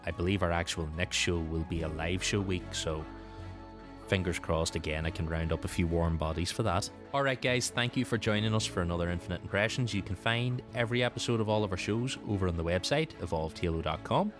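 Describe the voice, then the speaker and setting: Deep-voiced man hosting a podcast. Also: fairly quick, music in the background. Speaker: podcast host. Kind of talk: casual podcast discussion.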